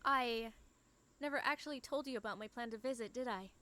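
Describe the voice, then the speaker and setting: A woman's voice: on the high side, a little fast, background noise, lightly acted. A woman playing an earnest, guarded young woman haunted by her past, in a dramatic comic dub.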